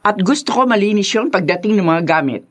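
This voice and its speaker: Actress as a stern matriarch, swooping in pitch. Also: female voice, expressive, brisk, natural voice. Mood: stern, suspicious. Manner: commanding, suspicious. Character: stern, imperious wealthy mother, mistress of the house. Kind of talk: Tagalog radio drama acting.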